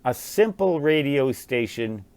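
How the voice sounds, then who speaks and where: An American man in his sixties, musing aloud in a halting, rambling monologue and often repeating words. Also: mid-range voice, expressive, a little mellow. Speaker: vlogger, writer and ham radio hobbyist.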